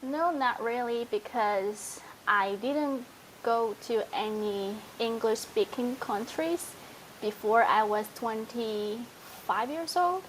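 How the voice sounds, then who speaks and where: A woman's voice: a little high, slow, slight background noise, poor audio. A young woman from Taiwan, a native Mandarin speaker, answering interview questions in a modest, casual way with frequent laughs. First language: Mandarin Chinese. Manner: modest, laughs often, simple phrasing with fillers. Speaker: interview guest.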